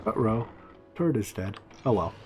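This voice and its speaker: A man whose first language is Chinese, casually talking to himself and his viewers while he plays a game, with mumbled asides and excited outbursts. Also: fairly low pitch, normal speed, soft background music. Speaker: streamer. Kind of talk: casual gameplay commentary.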